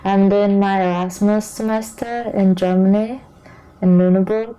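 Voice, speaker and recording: Flat-voiced young Turkish woman. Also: mid-range voice, unhurried, clear, resonant tone, low-quality recording. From Turkey. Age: 20s. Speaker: exchange student interviewee. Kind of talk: candid interview answers.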